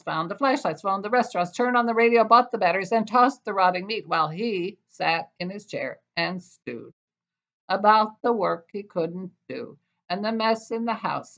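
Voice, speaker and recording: An older woman with an American accent reading her own poetry aloud with expressive, deliberate phrasing. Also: medium pitch. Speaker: poet. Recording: dull, lo-fi sound.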